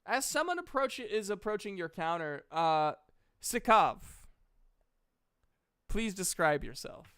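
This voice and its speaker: A man's voice: high voice, very animated, takes it slowly, pauses often, pushed and bright, smooth. A man running a tabletop role-playing game as game master, narrating and guiding players in a playful, teasing way.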